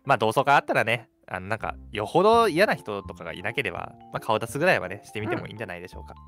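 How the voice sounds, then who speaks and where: A man's voice: fairly low pitch, very animated, rapid-fire, fairly bright tone, faint music behind. A young man co-hosting a radio show with casual, teasing banter. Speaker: radio co-host.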